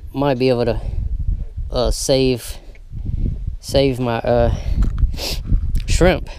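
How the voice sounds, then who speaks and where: A man hosting a fishing vlog outdoors, talking to viewers in an excited, casual way as he fishes. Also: mid-range voice. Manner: excited, talks straight to viewers. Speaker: fishing channel host. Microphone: handheld camera mic. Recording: outdoor camera audio, clear background noise.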